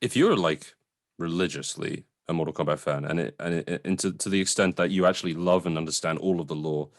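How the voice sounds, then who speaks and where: A man's voice: low-pitched, a little fast. A man co-hosting a casual, conversational film review, informal and sometimes sweary as he qualifies his opinions.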